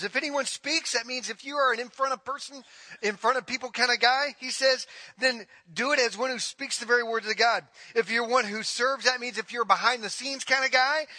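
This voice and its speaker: A man's voice: high voice, pushed and bright, dry recording. A man preaching a sermon, emphatic and repetitive as he drives each point home. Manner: emphatic preaching, repeating phrases. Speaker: pastor.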